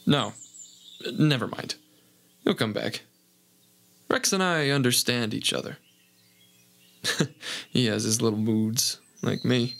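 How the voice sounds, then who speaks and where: An actor playing a calm, wise older countryman who speaks gently and chuckles softly. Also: mid-range voice, slow pace, vocal fry, long pauses. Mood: gentle. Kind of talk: radio play acting.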